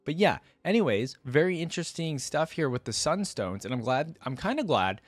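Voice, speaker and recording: A man's voice: fairly high pitch, sing-song, normal speed, no echo. A man giving casual, chatty reaction commentary as the host of a video. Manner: informal, chatty. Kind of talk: casual reaction commentary and story reading aloud.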